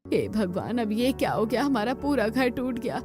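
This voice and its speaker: A woman's voice: on the high side, big pitch swings, normal speed, music in the background. A woman voicing a caring older mother-in-law of a poor household in a cartoon story. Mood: dismayed.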